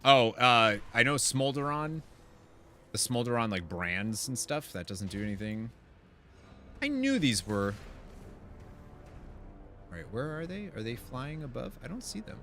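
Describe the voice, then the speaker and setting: A man streaming a video game, chatting in an informal, slangy, expressive way and reading quest text aloud. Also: medium pitch, unhurried, long pauses. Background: game music.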